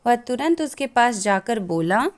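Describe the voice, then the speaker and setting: A woman's voice: a little high, animated, a little slow. A woman narrating a scripted children's moral story as the storyteller.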